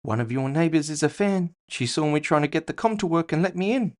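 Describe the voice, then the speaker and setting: A big, chrome-tusked orc fighter voiced by a man for a cyberpunk audio drama. He is amiable and unruffled, a softie at heart, and his voice sits noticeably higher than the narrator's own. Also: lively intonation, brisk, lightly acted. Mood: casual, amused. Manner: amiable, unperturbed. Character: hulking male cyborg orc boxer, friendly teddy bear.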